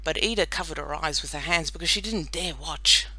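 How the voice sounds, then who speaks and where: A woman narrating a children's audiobook in an expressive, scripted storytelling style. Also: low voice, brisk, low-quality recording.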